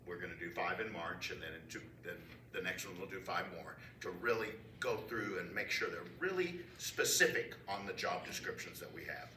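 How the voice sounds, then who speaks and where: A man reading out a committee statement at a church council meeting, upbeat, with pauses between phrases. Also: deep voice, bright, forceful tone. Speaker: committee member giving a report. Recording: echoey room, mic far off, faint noise.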